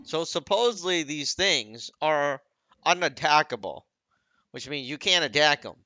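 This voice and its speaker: A man's voice: fairly high pitch, animated, a little slow, bright, forceful tone, highs cut off. A man giving casual, off-the-cuff game commentary, rambling and often second-guessing his own wording. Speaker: gaming video creator.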